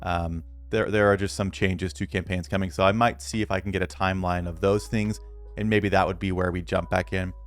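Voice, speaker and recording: A man's voice: deep voice, fairly even intonation, brisk, soft background music. A man who makes videos about a mobile strategy game, talking casually and earnestly to his viewers, rambling with many restarts and fillers.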